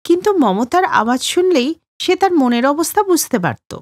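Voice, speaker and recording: Female narrator for cartoon stories: high voice, very animated scripted delivery. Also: brisk, no echo, clean studio sound.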